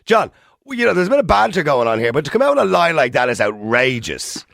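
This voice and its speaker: Fast, gravelly Irish radio host. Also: male voice, fairly high pitch, sing-song, fairly bright tone, no room sound, studio quality. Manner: interrupts to restore order, fast and emphatic. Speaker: radio talk show host. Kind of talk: moderating a heated phone-in debate. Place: radio studio. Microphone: studio microphone.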